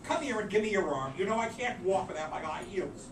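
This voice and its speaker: A man's voice: high voice, lively intonation, fairly quick. A man playing a haughty, campy drag queen mother, theatrical, arch and scolding, in a dramatised novel reading. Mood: scornful. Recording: roomy, distant, noisy amateur recording.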